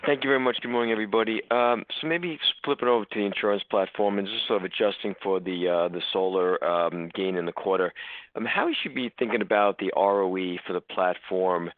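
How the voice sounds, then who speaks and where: A man's voice: on the low side, brisk, dry recording. A male financial analyst putting a question to executives on an earnings call, over a phone line. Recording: dry narrow phone line.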